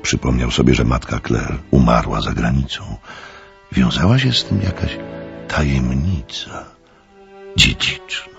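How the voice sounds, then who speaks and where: A man narrates a suspense story in an extremely low, gravelly voice with lively intonation. Music plays faintly behind him. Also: a little slow, muffled recording.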